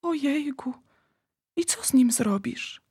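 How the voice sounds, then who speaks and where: A woman voicing a curious, timid little girl in a storybook, speaking softly and questioningly. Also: high voice, expressive, slow pace, no room sound, voice pushed up. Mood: timid, puzzled. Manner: soft, questioning.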